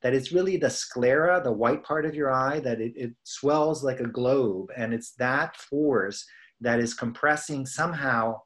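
Middle aged american guy, academic explaining stuff on a video call, halting and chatty, mid pitch. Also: a bit bright. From United States, East Coast. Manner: halting, conversational, self-correcting. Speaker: professor and research scientist presenting. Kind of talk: informal lay lecture over video call.

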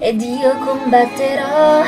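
A woman's voice: sits high, steady tone, slow, over music. A woman playing an earnest, determined young heroine, singing melodically in an amateur musical cartoon dub. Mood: hopeful, determined. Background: loud musical accompaniment.

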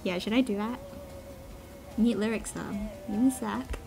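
A woman's voice: medium pitch, fairly even intonation, normal speed, gravelly, mellow. A woman with a playful, giggly, self-deprecating manner, chatting casually and ramblingly to online listeners. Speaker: amateur cover singer answering a voice meme. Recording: music playing behind the voice. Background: background song.

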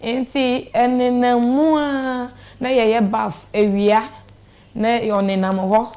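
A woman's voice: a little high, little pitch movement, takes it slowly, darkish tone. A young woman with a Ghanaian accent giving a personal account as a studio interview guest, retelling conversations as she goes. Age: young adult. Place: small TV studio, indoors. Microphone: clip-on lapel mic.

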